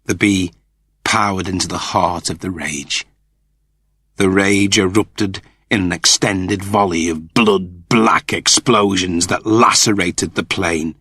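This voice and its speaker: A man reading scripted fiction as a narrator, in a deliberate, theatrical storytelling manner. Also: deep voice, fairly even intonation, bright, forceful tone, breathy edge, dry recording, clean studio sound. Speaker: audiobook narrator.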